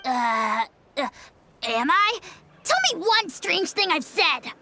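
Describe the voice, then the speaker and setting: A woman voicing a small, excitable, easily flustered child-like character in a video game. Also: high voice, slow pace, background noise, heavily acted. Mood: flustered, blustering. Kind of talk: animated video game voice acting.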